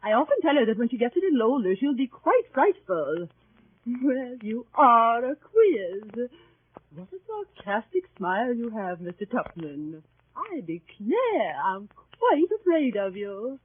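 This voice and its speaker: An actress playing a coy, catty middle-aged spinster aunt, gushing and affected in 1930s radio style. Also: female voice, a little high, sing-song, unhurried, slightly rough, full character voice. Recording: muffled, dry old radio recording.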